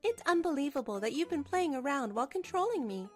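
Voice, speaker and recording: A woman acts out a betrayed young wife in a manga drama, her voice very high. She is cold, scornful and righteously angry, biting into each accusation. Soft music sits behind her. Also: very animated, fast talker. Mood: accusing. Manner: cutting, accusatory. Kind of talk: dubbed manga drama acting.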